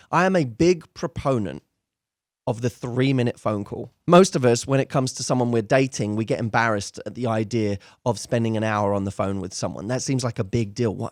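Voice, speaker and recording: A man speaks as a dating coach talking directly to his audience. His mid-pitched voice is recorded dry and close. He explains things conversationally, stressing key points and voicing sample lines as if in a real chat. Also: dry recording. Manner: emphatic, acts out example phone dialogue. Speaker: dating coach and show host. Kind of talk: conversational advice monologue to viewers.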